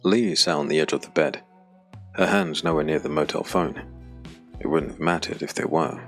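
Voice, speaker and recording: A man narrating a scripted dark fantasy audiobook with a deep, gravelly voice. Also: fairly even intonation, normal speed, soft background music.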